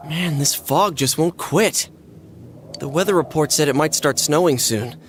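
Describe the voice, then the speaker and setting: A man playing an easygoing teenage high school boy in an English anime game, with a loose, colloquial delivery. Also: unhurried, a little hiss or hum, close to an everyday voice. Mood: mildly bothered. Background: music.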